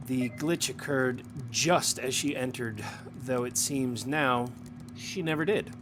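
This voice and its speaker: A man playing a stern, suspicious superior in an audio drama, questioning a subordinate in a clipped, pointed, quietly menacing way. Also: mid-range voice, takes it slowly, lightly acted. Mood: veiled threat. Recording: noticeable background hum and noise.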